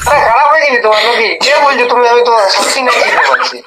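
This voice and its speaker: A man's voice: very high-pitched, rapid-fire, shouting, very noisy. A man with a rural western West Bengal accent in a casual phone conversation, baffled and protesting with frequent exclamations. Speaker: prank call recipient.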